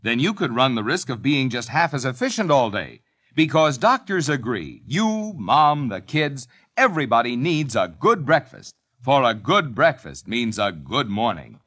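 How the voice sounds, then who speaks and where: A man's voice: mid-range voice, very animated, moderate pace, fairly bright tone. A man reading a 1950s radio commercial in an upbeat, persuasive way. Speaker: commercial announcer.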